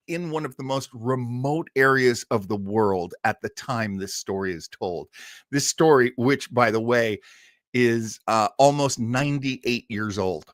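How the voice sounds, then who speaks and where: A man's voice: medium pitch, fairly bright tone, no echo, studio quality. A man hosting a live show, talking casually and enthusiastically as he introduces a story. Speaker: host of a live horror radio show stream. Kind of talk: casual chat introducing an old radio story.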